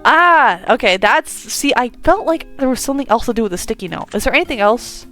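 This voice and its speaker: A young woman giving casual, excitable playthrough commentary on a visual novel, gushing and swearing freely. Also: fairly high pitch, brisk. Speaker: gaming video creator playing a visual novel. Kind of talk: casual playthrough commentary, reading game dialogue aloud. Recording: music playing behind the voice.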